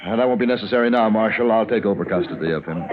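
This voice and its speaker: An actor playing a formal, authoritative army major in an old radio western, with a clipped, official manner. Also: male voice, lowish voice, racing, narrow, tinny audio, close to an everyday voice. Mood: stern. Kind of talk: 1950s radio western acting. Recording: thin old radio sound, crowd murmur.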